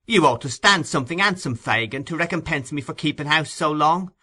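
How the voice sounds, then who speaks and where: A man's voice: fairly high pitch, lively intonation, brisk, pushed and bright, pitched above the performer's own voice, exaggerated voice. A man voicing a vain, swaggering gambler with a haughty drawl.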